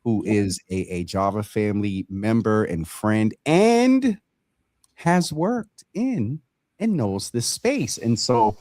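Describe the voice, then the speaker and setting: A man hosting a live community talk show in a conversational, halting way, often repeating words and circling around his point. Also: on the low side, lively intonation, darkish tone, dry recording, studio quality.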